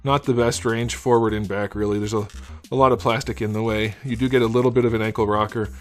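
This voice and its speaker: Hoarse man reviewing a toy. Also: fairly low pitch, quick pace. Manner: relaxed, thinking aloud with fillers. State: hoarse, losing his voice. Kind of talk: casual product review.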